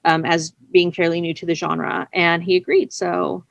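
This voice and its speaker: A woman being interviewed, telling stories casually in a chatty, expressive and self-effacing way. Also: lowish voice, normal speed, gravelly, no echo, highs cut off. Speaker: interview guest, audio drama writer. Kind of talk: casual conversational storytelling.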